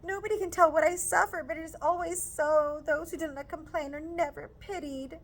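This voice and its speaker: A woman reading aloud, voicing a fretful, complaining middle-aged mother in high, dramatic tones. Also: steady tone, fairly quick. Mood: self-pitying.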